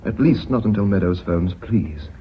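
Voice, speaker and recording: An actor playing a deferential, anxious British company officer in a radio drama, with formal, careful wording. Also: male voice, lowish voice, animated, normal speed, breathy edge. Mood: cautious, pleading. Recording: muffled old radio recording.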